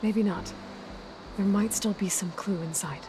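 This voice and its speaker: An actress playing a practical, resolute young female scout in a naturalistic video game performance, measured and matter-of-fact. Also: mid-range voice, steady tone, music in the background, close to an everyday voice. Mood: hushed, alert.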